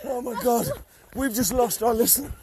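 A man's voice: very high voice, moderate pace, crowd noise. A man hosting a casual podcast chat on a drive, teasing and laughing with friends. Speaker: podcast host.

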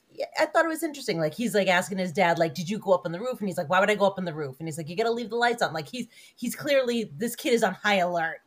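A woman co-hosting a movie podcast, retelling scenes with quoted lines and many fillers. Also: on the low side. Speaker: podcast co-host. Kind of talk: casual movie review chat.

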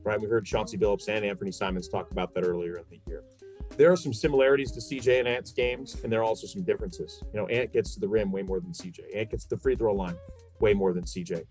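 A man's voice: on the low side, fast talker, a little mellow, soft background music. A man talking basketball as a sports commentator, giving opinions emphatically and repeating phrases for stress. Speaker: sports commentator, podcast guest.

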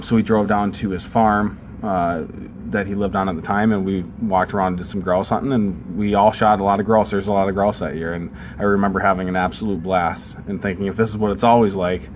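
A man's voice: low-pitched, a little hiss or hum. A young man with a northern Minnesotan accent, co-hosting a podcast and telling stories in a casual, rambling way with many fillers. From southern United States. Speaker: podcast co-host. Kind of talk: casual chat.

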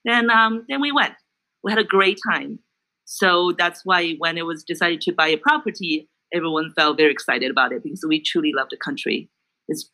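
A woman's voice: fairly low pitch, sharp tone, poor audio. A woman from China casually sharing personal stories as a guest in a group conversation.

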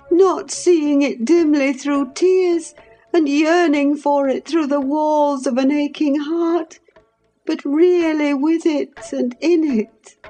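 A woman voicing a feverish, dying young woman who is passionate and yearning, speaking high and pleading. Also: warm tone.

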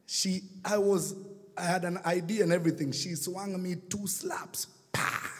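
A man with a Kenyan accent preaching a sermon, telling a story and swinging from hushed to shouting. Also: high voice, fairly even intonation, unhurried, big-room sound. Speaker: pastor. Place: church hall.

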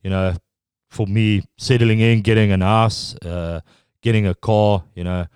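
Middle-aged South African guest, Afrikaans accent, resonant low voice, stop-start delivery. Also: male voice, steady tone, darkish tone, clear, resonant tone, no echo, studio quality. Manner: halting, full of restarts and fillers. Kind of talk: casual interview chat.

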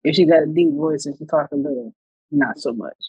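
A woman's voice: a little fast, breathy edge, dry recording. An American woman co-hosting a podcast in casual chat, with a medium, plain-spoken voice and a laid-back, matter-of-fact manner. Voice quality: monotone, medium-pitched. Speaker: podcast co-host. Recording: dull, muffled lo-fi sound.

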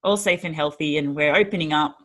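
A woman's voice: sits low, a little fast, a bit bright. A young Australian woman from Sydney, a guest in a casual interview, talking in an upbeat, chatty way with many fillers and frequent laughs. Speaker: guest, former teacher and Paralympian.

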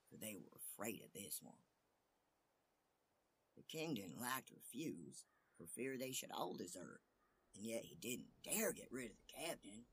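A man's voice: on the low side, a bit bright, a little hiss or hum. A man narrating a scripted fairy tale as the storyteller. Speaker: storyteller host.

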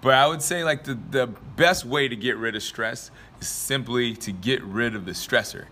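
Young male vlogger, a bit raspy from a dry throat, bright and forceful, loose rambling motivational talk. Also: medium pitch, moderate pace, a little hiss or hum. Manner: rambling, filler-heavy, laughs often. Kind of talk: casual motivational talk to camera.

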